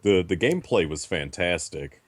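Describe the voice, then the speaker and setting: A caller with a low male voice gives his opinion in casual chat. He speaks in a measured, somewhat hesitant manner, and the sound is dry. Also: sing-song, no echo.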